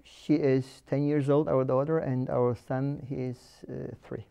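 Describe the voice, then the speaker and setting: A man's voice: medium pitch, little pitch movement, unhurried, creaky, warm tone, clear, resonant tone. A middle-aged man from Turkey, an academic, introducing himself carefully with hesitations. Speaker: marketing academic. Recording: dull lo-fi sound.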